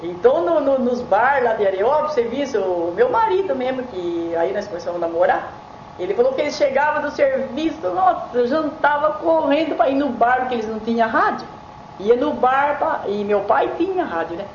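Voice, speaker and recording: A woman's voice: high voice, expressive, moderate pace. An older Brazilian woman casually reminiscing as a radio listener. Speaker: radio listener sharing memories. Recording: echoey, dull, faintly noisy tape.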